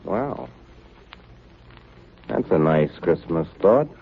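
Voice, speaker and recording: An actor playing a hearty, teasing, blunt ship's officer in 1930s radio drama style. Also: male voice, deep voice, expressive, extremely slow, gravelly, slightly rough, heavily acted. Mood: brash. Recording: tinny, noisy 1930s radio recording.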